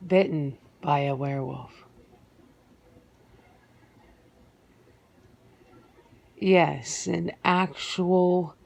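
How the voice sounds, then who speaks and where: Low, slow, creaky woman playing a guarded werewolf. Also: darkish tone, full character voice. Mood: calm, sure. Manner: hesitant, matter-of-fact, halting with pauses. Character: guarded, earnest female werewolf giving an interview. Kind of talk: ASMR roleplay, one side of an interview.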